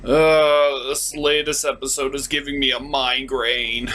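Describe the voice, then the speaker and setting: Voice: mid-range voice, level tone, a little slow, fairly bright tone. A comedic review host who recaps and rants in a sarcastic, exasperated way with theatrical emphasis. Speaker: host of a cartoon review show.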